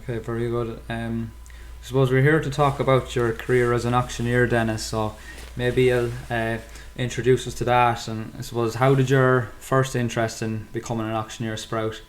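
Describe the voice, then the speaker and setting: Teenage male host, flat delivery, hedging questions. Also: medium pitch, moderate pace, slight background noise. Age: teens. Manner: hedging questions with many fillers. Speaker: podcast host. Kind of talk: interviewer.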